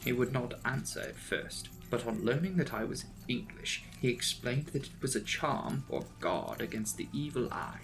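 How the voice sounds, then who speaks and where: A man narrating a classic novel as a dramatic audiobook, with measured, formal, theatrical phrasing. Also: medium pitch, expressive, over music, poor audio.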